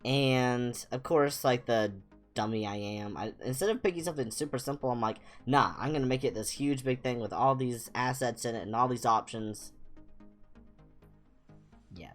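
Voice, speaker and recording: A man's voice: medium pitch, moderate pace, soft background music. A young man chatting casually as a livestream host, rambling informally with a self-deprecating manner. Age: young adult. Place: small home room, indoors.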